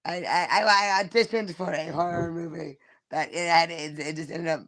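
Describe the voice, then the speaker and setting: A woman comedian talks in a gravelly voice on a podcast. She stumbles through stories with many restarts and acts out the quotes herself. The sound is dry and close. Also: on the low side, steady tone, normal speed, a bit bright, dry recording. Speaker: stand-up comedian guest. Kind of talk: casual podcast chat.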